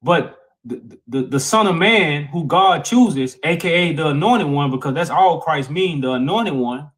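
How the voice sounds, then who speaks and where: A man's voice: a little high, lively intonation, unhurried, a bit bright. A man co-hosting a religious live-stream panel, arguing and explaining with emphatic repetition and casual grammar. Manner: emphatic, repeats phrases, informal grammar. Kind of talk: heated live-stream debate and preaching.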